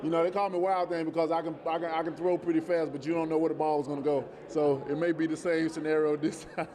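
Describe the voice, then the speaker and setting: Higher-voiced man amid crowd noise. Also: little pitch movement, fast. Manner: joking, self-deprecating. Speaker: athlete being interviewed. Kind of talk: casual off-the-cuff remarks.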